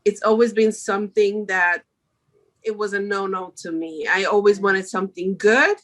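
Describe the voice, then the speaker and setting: A woman's voice: medium pitch, fairly even intonation, normal speed, fairly bright tone. Woman being interviewed. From Switzerland. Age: middle-aged. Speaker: podcast guest, makeup artist. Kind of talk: conversational interview answers.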